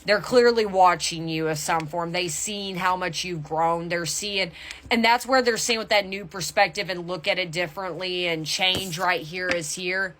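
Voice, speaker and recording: Low-pitched woman giving a casual tarot reading. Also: moderate pace, a bit bright. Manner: candid, conversational, emphatic asides. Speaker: tarot reader. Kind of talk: casual intuitive reading addressed to viewers.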